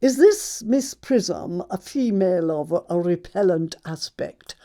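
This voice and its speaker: A woman's voice: fairly low pitch, expressive, a little slow, mellow. A woman playing a snobbish, haughty older lady in a stage comedy audiobook, with an upper-class English accent and a pompous, commanding manner. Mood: imperious. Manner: pompous, commanding, emphatic enunciation.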